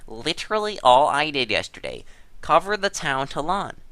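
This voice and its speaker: An indignant, defensive gamer , performed by a man in a dramatic reading. The voice is sharp and very animated, recorded dry and close. He sounds whiny and insistent. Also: on the high side, moderate pace, no room sound, exaggerated voice. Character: defensive, aggrieved male gamer protesting his innocence. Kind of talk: dramatic reading of a gaming chat log.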